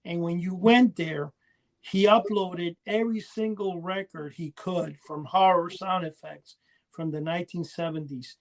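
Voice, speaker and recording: A man with a noticeably high voice talks in a loose, conversational way, like a collector on a podcast. He rambles, doubling back and drifting away from his own point. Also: fairly even intonation, measured pace, vocal fry, low-quality recording. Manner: rambling, often losing his thread. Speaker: podcast co-host. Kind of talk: casual chat about collecting.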